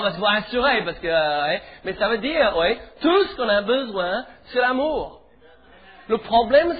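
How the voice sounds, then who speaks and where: A middle-aged man from England, a pastor preaching a sermon in French with an English accent, leading the congregation in animated declarations. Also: very high voice. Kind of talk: sermon in church. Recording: tinny phone-like sound with faint music.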